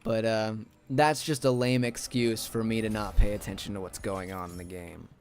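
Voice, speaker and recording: A man's voice: lowish voice, fairly even intonation, moderate pace, a little mellow. Sleepy, rambling young male streamer. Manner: rambling, talks to chat while playing. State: sleepy, raspy and monotone. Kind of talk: casual gaming stream chat.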